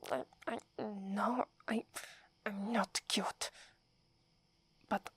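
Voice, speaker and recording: Proud tsundere werewolf girl, whispered by an Italian woman: breathy, slow, close-mic ASMR. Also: medium pitch, level tone, a bit bright, no room sound, heavily acted. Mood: flustered. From Italy. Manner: haughty but stammers when flustered.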